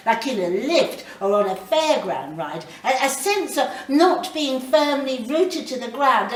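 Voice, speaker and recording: Expressive British woman reading, roomy sound. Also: fairly high pitch. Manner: dramatic, deliberate. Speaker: story reader. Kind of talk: expressive short story reading. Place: live reading in a library. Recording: roomy, distant mic, faint background noise.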